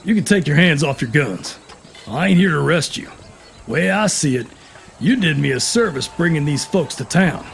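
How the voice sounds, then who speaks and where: An actor playing a firm, fair and courteous Western sheriff, speaking in a measured, plain-spoken American drawl. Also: male voice, fairly high pitch, expressive, vocal fry, a little hiss or hum. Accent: American, Southern or Western drawl. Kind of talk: Western audio drama acting.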